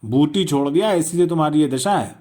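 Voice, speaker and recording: A man's voice: fairly high pitch, fairly quick, a little mellow, pitched above the performer's own voice, lightly acted. A man voicing a friendly, concerned male companion in an audio story, coaxing and asking questions. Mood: concerned questioning.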